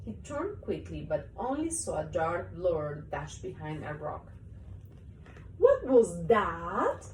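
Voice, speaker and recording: Expressive woman reading a children's story, roomy sound. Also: low-pitched, measured pace. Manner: expressive, acts out story parts. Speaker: mother reading to her young child. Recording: roomy, distant mic, faint noise.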